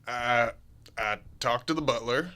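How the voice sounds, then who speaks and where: An actor playing a weary, defensive small-town sheriff in a radio drama, testy and resigned. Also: male voice, medium pitch, measured pace, pushed and bright.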